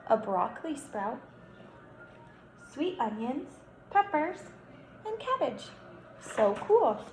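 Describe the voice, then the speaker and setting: Slow-paced young kindergarten teacher, a woman. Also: fairly high pitch. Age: young adult. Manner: animated, instructive, stretches words for emphasis. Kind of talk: read-aloud lesson for young children. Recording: roomy echo, faint background noise.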